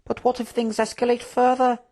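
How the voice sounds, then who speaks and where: An idealistic young prince from a fantasy storybook, performed by a narrator, pitched well above natural speech. He speaks formally, with earnest, pleading outrage. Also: level tone, brisk, darkish tone, close to an everyday voice. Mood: worried.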